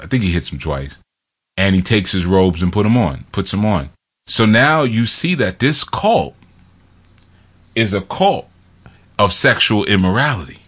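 Deep-voiced man rambling about a film. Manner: rambling, digressive, many fillers. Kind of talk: casual film commentary.

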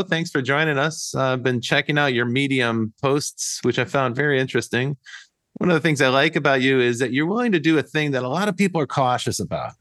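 Male podcast host, friendly and upbeat, mid-pitched, dry close sound. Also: fairly bright tone, dry recording. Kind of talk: casual podcast interview.

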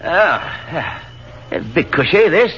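An actor playing a chatty young Australian army lieutenant in a 1930s radio drama, jovial and full of hesitant fillers. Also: male voice, fairly high pitch, very animated, slow pace, fairly bright tone, a little hiss or hum, heavily acted. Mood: cheerful. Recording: dull old radio recording with faint music.

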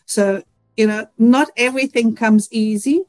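A woman's voice: a little high, a little slow, darkish tone, no room sound. A middle-aged woman with a Dutch accent, an engineering professor answering interview questions earnestly and reflectively, with many "you know" fillers. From Netherlands.

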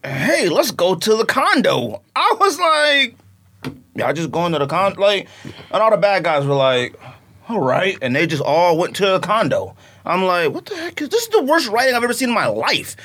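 A man co-hosting a podcast in casual chat, emphatic and animated as he acts out his own reactions. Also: high-pitched, normal speed. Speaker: podcast co-host.

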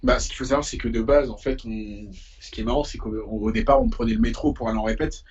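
A young man talks casually in an interview, his voice very husky and gravelly. He speaks in a slangy, halting conversational way, and the audio sounds a little muffled. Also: medium pitch, sing-song, a little mellow. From Paris, France. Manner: informal, slangy, hesitant storytelling. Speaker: musician being interviewed.

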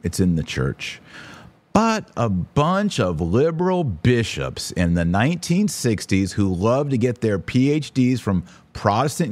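A man's voice: mid-range voice, darkish tone. A man hosting a podcast, giving casual, opinionated religious commentary, speaking to his audience in an informal, emphatic, direct way. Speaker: podcast host; online course teacher.

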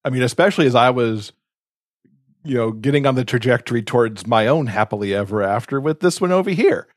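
A man's voice: mid-range voice, animated, a little fast, no echo, clean studio sound. A man chatting casually as a podcast co-host, speaking in a reflective, earnest way.

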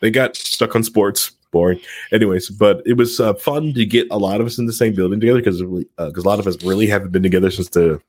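A man's voice: on the low side, fast talker, no room sound. A man hosting a casual podcast, talking in a rambling, jokey way. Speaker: podcast host.

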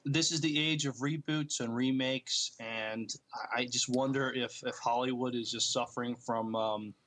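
A man co-hosting a podcast, talking casually about films in a somewhat halting way. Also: mid-range voice, fairly even intonation, a bit bright. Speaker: podcast co-host. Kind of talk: casual movie news chat.

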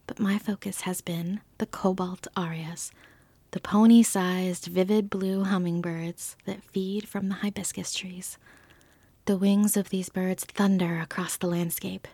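A woman playing an earnest, wonderstruck field naturalist, giving a measured, lyrical report in an audio drama with a soft, breathy voice. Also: on the low side, little pitch movement, dry recording. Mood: absorbed, explanatory. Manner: thoughtful, lyrical, unhurried.

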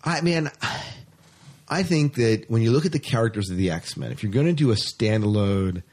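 A man's voice: lowish voice, expressive, moderate pace, a little mellow. A man hosting a podcast, talking casually and chattily about sci-fi news with an enthusiastic, informal manner. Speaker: podcast host.

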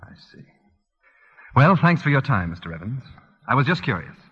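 An actor playing a smooth, scheming husband in a 1940s radio drama, casually probing and insinuating. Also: male voice, mid-range voice, very animated, moderate pace. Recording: muffled vintage radio broadcast.

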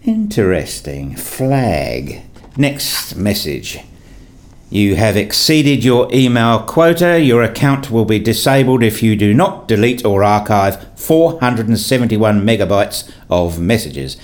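A man plays a weary male academic telling his own story in a radio play. The voice is on the low side. He is dry and sardonic, easily irritated by routine. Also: animated, takes it slowly. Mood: irritated, bored. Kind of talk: scripted first-person narration, radio play.

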